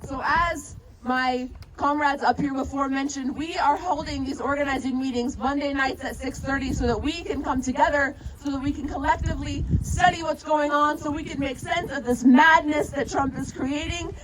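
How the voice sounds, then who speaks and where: A woman's voice: high-pitched, steady tone, moderate pace, hardly any pauses. A woman organizer giving an impassioned, emphatic political speech at an outdoor rally. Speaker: activist organizer speaking at a rally. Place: outdoors at a protest. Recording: outdoor rally with music and crowd noise.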